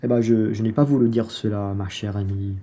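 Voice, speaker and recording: Dark, husky crafty merchant played by a man. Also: fairly low pitch, fairly even intonation, brisk, close to an everyday voice. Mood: cajoling. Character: crafty, familiar, self-serving merchant husband. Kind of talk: radio play acting.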